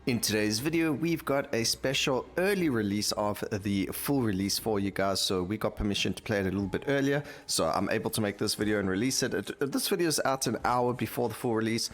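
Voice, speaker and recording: Deep-voiced man doing game commentary. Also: a little fast, few pauses. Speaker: gaming video creator. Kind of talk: casual gameplay commentary. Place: voice-over recorded over game audio. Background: game music.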